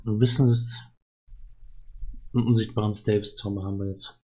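A man's voice: lowish voice, level tone, normal speed, warm tone. A man streaming an adventure game, chatting casually and thinking aloud in a musing way. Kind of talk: casual chat while gaming, thinking aloud.

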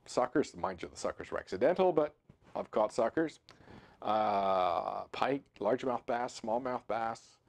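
A man in his sixties hosting a fly fishing channel, talking to camera in a relaxed, conversational way with frequent fillers and restarts, seated in a home office. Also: mid-range voice, creaky. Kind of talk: to-camera instructional talk. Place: home office with computer screens, indoors.

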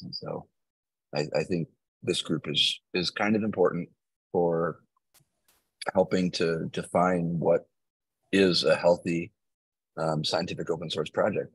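A man with a very low, slightly husky voice hosts a video call from a small home room. He talks in a measured, thoughtful way, restarting phrases now and then. The laptop mic sounds dry and close. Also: no echo, rough recording. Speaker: meeting host and project maintainer. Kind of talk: casual video call chat.

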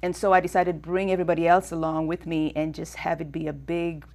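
Kenyan lady guest on a studio talk show, lowish voice, lots of uh's, takes her time. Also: flat delivery, a little mellow. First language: Swahili. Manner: measured, thoughtful, with many uh fillers. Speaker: guest, fashion designer. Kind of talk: studio interview. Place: TV studio set.

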